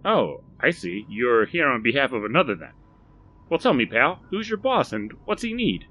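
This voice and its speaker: A man's voice: medium pitch, very animated, moderate pace, pushed and bright, slight background noise, pitched above the performer's own voice. A man voicing an eager, folksy male shopkeeper who is chatty and salesy. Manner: folksy, talkative.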